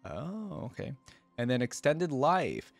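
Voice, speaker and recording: A man's voice: medium pitch, big pitch swings, slow, creaky, soft background music. A man hosting a casual reaction show, talking conversationally, thinking aloud and putting questions to viewers as he reads along. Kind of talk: casual reaction commentary, reading wiki pages aloud.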